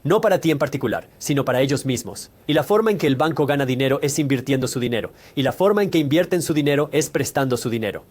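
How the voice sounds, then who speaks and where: A man's voice: mid-range voice, animated, fast, few pauses, darkish tone. A man presenting a scripted educational explainer, speaking directly to the listener and laying things out step by step. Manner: didactic, explains step by step with examples. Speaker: host of a personal finance explainer. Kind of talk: scripted educational narration, addressing the viewer directly.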